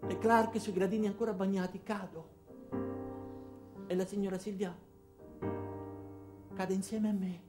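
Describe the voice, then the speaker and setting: An actor playing Alfredo, an ordinary weary man confiding his story haltingly in a theatrical radio monologue. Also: high voice, level tone. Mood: tense. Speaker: monologue narrator. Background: music.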